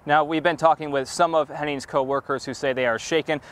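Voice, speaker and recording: A man reporting live for TV news outside a factory, in a measured, formal delivery. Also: fairly high pitch, steady tone, fairly bright tone, slight background noise. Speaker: TV field reporter. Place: outdoors at a factory.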